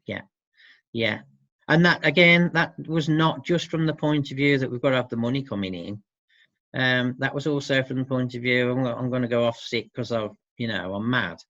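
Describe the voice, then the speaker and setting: A man's voice: medium pitch, moderate pace, fairly bright tone. A man answering interview questions about his work in an informal, thoughtful, hesitant way. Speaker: interviewee, support service worker for dads. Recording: muffled, roomy lo-fi sound.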